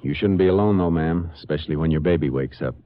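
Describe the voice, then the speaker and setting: A man playing a calm, plainspoken Texas Ranger investigator in a 1950s radio drama, steady and understated. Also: very deep voice, fast talker. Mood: calm, serious. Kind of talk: 1950s radio drama acting with first-person narration. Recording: muffled, noisy vintage radio broadcast.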